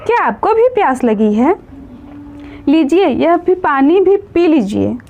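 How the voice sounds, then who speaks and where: A woman voicing a shy, devoted young wife in a story, soft-spoken, deferential and pleading. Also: sits high, very animated, slow, dull audio, exaggerated voice. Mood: nervous, reassuring.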